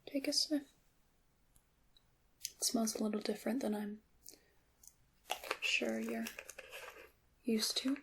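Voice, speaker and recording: A woman playing a gentle, knowing witch in an ASMR roleplay, speaking in a breathy half-whisper with deliberate, slightly formal phrasing. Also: on the high side, fairly even intonation, slight background noise, exaggerated voice. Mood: hushed, instructive. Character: gentle, knowing witch teaching her craft. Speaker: ASMR performer.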